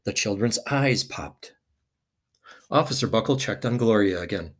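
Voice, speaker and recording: A man's voice: on the low side, animated, moderate pace. A man reading a children's picture book aloud expressively, with dramatic emphasis.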